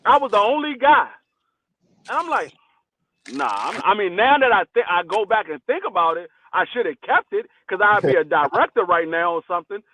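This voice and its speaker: A man in his forties chatting casually on a podcast, telling stories in blunt slang with animated energy. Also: sits high, fairly quick, dry recording. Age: 40s. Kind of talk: casual chat. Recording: tinny phone-line sound, dry.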